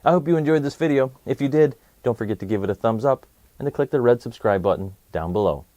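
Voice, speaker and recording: A man's voice: medium pitch, expressive, quick pace, warm tone, no echo. Man reading to kids with swooping intonation. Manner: clear, simple phrasing addressed to children. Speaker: children's read-aloud host. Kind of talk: educational picture book read-aloud for kids.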